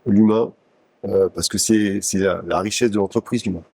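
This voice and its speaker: A man in his sixties from Paris, a company executive telling his career story in an interview, measured and pausing with many fillers. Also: lowish voice, fairly even intonation, vocal fry. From France (Paris). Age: 60s. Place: company showroom.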